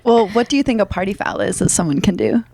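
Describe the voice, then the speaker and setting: A woman's voice: mid-range voice, fast, gravelly, warm tone, no echo. A woman co-hosting a podcast in casual, playful banter with a teasing manner. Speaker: podcast co-host.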